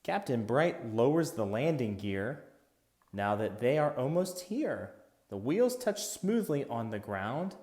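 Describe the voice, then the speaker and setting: Male storybook reader, rhythmic children's narration, very lively intonation, unhurried pace. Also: medium pitch. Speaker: children's book read-aloud narrator.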